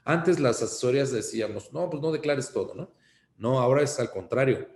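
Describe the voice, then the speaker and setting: A man with a Mexican accent giving an informal instructional talk as an accountant, explaining things in a cautionary, halting way. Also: mid-range voice, expressive, a little mellow, a little hiss or hum. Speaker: accountant giving tax advice. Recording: roomy, echoing sound.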